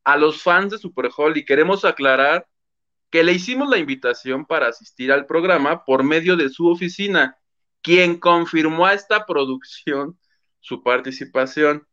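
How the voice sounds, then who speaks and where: A man's voice: fairly high pitch, moderate pace, sharp tone, dull audio. A man hosting a gossip show, telling the story animatedly and acting out quotes. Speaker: show host. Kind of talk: casual gossip commentary.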